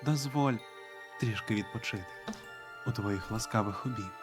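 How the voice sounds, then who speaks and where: A man playing a gentle, weary young wanderer who speaks slow, poetic lines in a hushed, lyrical manner for a game. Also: lowish voice, over music, lightly acted. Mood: tender.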